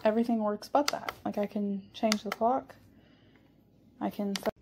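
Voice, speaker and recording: A woman slowly testing a radio at home, matter-of-fact about what works and what does not. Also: medium pitch, steady tone, noisy background. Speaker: product reviewer. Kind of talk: casual product demo.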